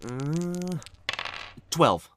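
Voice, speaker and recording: A woman playing an excitable, cheerful young monster gamer in an audio roleplay, eager and supportive. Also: low-pitched, very slow, slight background noise, heavily acted. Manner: eager, enthusiastic.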